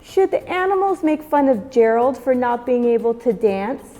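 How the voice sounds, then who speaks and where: A woman reading a children's picture book aloud as a storytime host, with expressive, measured delivery. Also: fairly high pitch. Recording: long reverb, music behind. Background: music.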